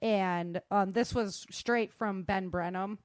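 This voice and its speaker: A woman with a mid-pitched voice talks casually, as a guest passing on practical advice. She is plain-spoken and leans on her key points. The sound is dry, with very little room in it. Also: steady tone, slow, no echo. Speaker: guest sharing self-defense advice.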